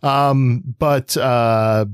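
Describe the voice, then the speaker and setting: A man's voice: mid-range voice, fairly even intonation, drawn-out words, clean tone, no echo. Guy on a podcast, mid voice, rambly casual explainer, kinda wry, really dry close audio. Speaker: podcast co-host. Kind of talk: casual explanatory comics discussion.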